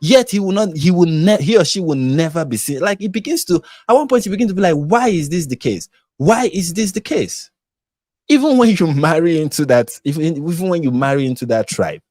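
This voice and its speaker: Nigerian male host, animated, stumbles and restarts, dry close sound. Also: fairly quick, no echo. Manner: animated, many restarts, pitch climbs when stressing a point. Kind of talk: live stream discussion.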